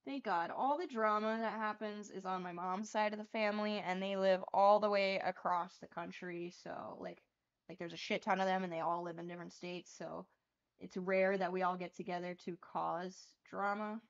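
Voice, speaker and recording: A young woman streams from a small bedroom, chatting loosely and swearing as she paints. Her voice sits at a middling pitch and is turning a little hoarse. The lapel-mic sound is a touch dull and lo-fi. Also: fairly even intonation, normal speed. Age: young adult. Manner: casual, swears freely, chatty and rambling. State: getting hoarse. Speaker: livestream host. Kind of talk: casual chat while painting cards.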